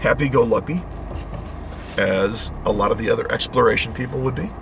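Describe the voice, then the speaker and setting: A man with a hoarse voice from a head cold, rambling and ranting as he vlogs while driving. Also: medium pitch, fairly quick. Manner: rambling, earnest rant. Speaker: vlogger. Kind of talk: casual driving vlog. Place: inside a moving car. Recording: car road noise.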